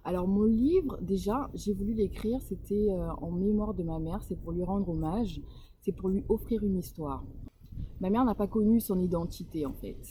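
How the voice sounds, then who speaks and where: A woman's voice: mid-range voice, normal speed, noisy background. A woman from Congo-Brazzaville, an author being interviewed about her novel, talking casually with frequent hesitations and restarts. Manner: hesitant, many fillers and restarts. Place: home in northern Portugal.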